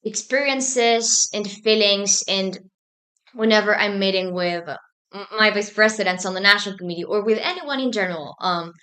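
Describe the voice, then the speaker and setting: A woman's voice: mid-range voice, pushed and bright. Young woman, thoughtful guest. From Argentina. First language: Spanish. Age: young adult. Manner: thoughtful, measured, frequent ums. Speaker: student society president, guest. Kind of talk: casual interview talk.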